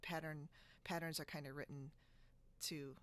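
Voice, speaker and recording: A woman hosting a podcast in a casual video-call chat, talking in a halting, giggly, chatty way. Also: low-pitched, fairly even intonation, a bit bright. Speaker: podcast host. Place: indoors on a split-screen video call.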